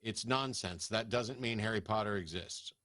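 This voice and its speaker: A man's voice: fairly low pitch, moderate pace, fairly bright tone, no echo, studio quality. A man hosting a call-in show, answering callers bluntly and dismissively. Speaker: host.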